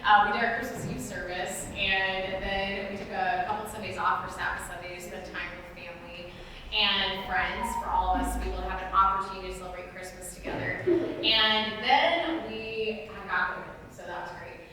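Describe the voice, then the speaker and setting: A woman's voice: mid-range voice, steady tone, normal speed. A woman pastor giving a casual, chatty sermon to her church, laughing easily. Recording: echoey hall audio from far off with faint noise.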